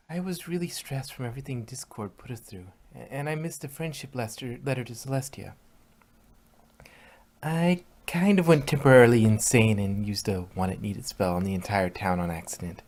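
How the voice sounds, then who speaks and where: A woman playing an earnest, bookish, slightly anxious young mare who speaks haltingly in a fan fiction audio drama. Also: extremely low pitch, darkish tone. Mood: embarrassed.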